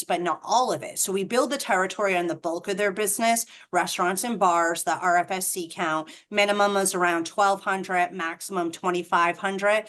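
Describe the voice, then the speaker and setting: A woman's voice: medium pitch, fairly bright tone. A middle-aged woman with a Boston accent, a franchise representative explaining the business on a video call, chatty with frequent ums and restarts.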